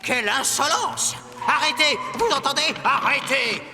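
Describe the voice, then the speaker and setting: A man's voice: very high voice, measured pace, big-room sound, over music. A man voicing a pompous, blustering castle official in a French cartoon, indignant and servile.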